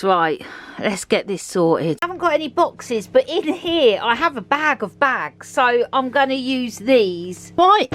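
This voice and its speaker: A woman's voice: fairly high pitch, slight background noise. A woman hosting her own video diary in an upbeat, chatty way, talking straight to the camera from around her narrowboat. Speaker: vlog host. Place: narrowboat and outdoors.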